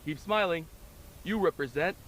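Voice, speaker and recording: A man's voice: a little high, normal speed, a bit bright, a little hiss or hum, lightly acted, rough recording. A man playing a formal, stiffly polite aquarium staff announcer in an analog horror video, cheerful and impersonal. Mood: formal, upbeat.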